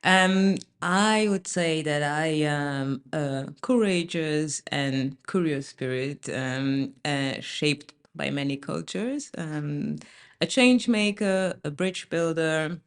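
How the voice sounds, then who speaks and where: Gravelly-voiced woman from Austria, thoughtful. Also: sits low, slow. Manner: thoughtful, many ums, searching for words. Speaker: podcast guest. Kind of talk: casual interview conversation.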